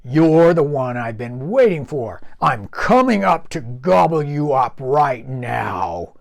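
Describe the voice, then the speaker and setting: Guy putting on a loud gruff bridge troll, greedy and cranky, storybook style. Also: medium pitch, big pitch swings, slow pace, full character voice. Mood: gloating. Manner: roaring, gruff. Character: hungry grumpy male bridge troll.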